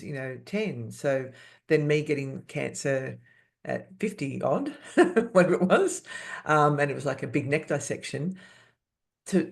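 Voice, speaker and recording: A woman in her fifties, a podcast guest, speaking candidly and haltingly, restarting words and laughing often. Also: sits low, creaky, darkish tone. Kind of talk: candid personal interview.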